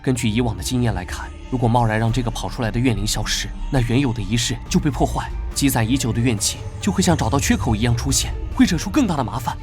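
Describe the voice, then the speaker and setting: An actor playing a serious, easily exasperated young male investigator in an animated drama, blunt and no-nonsense. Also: medium pitch, quick pace, hardly any pauses, faint music behind, close to an everyday voice. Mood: urgent. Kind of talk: Chinese animated drama dubbing.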